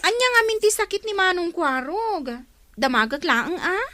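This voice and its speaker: A woman's voice: very high voice, big pitch swings, moderate pace, pushed and bright, dry recording, heavily acted. An actress with an Ilocano accent playing an inquisitive, polite young village woman in a radio drama. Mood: curious.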